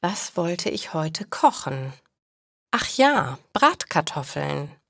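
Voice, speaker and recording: A woman's voice: deep voice, sing-song, slow pace, creaky, fairly bright tone, no echo, studio quality, heavily acted. A woman voicing a forgetful female shopper who muses to herself in a storybook for language learners. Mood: musing. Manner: self-talking, musing.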